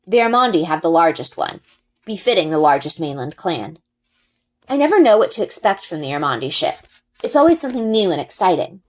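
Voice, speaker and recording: A woman narrating a children's book as an expressive read-aloud. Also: lowish voice, brisk. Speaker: story reader.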